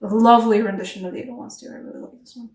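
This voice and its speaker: A woman giving a casual tarot reading to camera, chatty and animated, English not her first language, laughing at herself between rambling asides. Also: a little high, rapid-fire, recorded from a distance. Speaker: tarot reader. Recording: echoey room, voice a bit off-mic.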